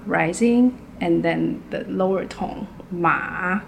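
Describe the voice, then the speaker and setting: A woman from Taiwan, a language professor speaking slowly and carefully as she demonstrates in an interview. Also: fairly low pitch, vocal fry, background noise. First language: Mandarin.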